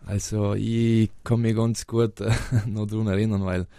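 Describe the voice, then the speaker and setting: A man's voice: fairly low pitch, level tone, unhurried, gravelly, dark tone, clear, resonant tone, no echo. A young man from South Tyrol with a South Tyrolean German accent, answering interview questions hesitantly with many fillers and restarts. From South Tyrol, Italy. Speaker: interviewed footballer.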